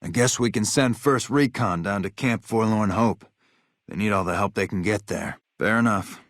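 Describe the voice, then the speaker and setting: An actor playing a hard-bitten, vengeful military officer in a video game, blunt, profane and matter-of-fact. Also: male voice, fairly low pitch, moderate pace, a bit bright, no echo, studio quality. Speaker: game character, army officer.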